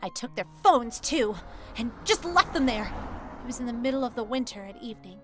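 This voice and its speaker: A woman's voice: a little high, animated, a little fast, over music. A woman giving earnest, dramatic first-person narration of a scripted story.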